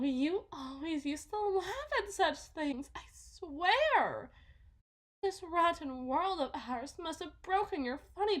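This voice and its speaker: A woman playing a delusional, possessive mother in a dramatic ASMR roleplay, halting and repeating herself theatrically, shifting between hushed and intense. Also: sits high. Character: delusional, possessive mother smothering her supposed lost child.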